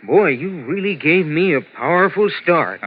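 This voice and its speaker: Jumpy, high-voiced radio chum. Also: male voice, expressive, normal speed. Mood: jumpy, agreeable. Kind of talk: 1950s radio advertising skit. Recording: thin, narrow-band old radio recording.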